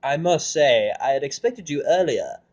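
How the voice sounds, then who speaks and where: A man's voice: on the high side, sing-song, darkish tone, pitched above the performer's own voice. A man doing a posh, gushing pony dressmaker. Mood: gracious. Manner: theatrical, gushing, drawn-out. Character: posh refined unicorn mare fashion designer.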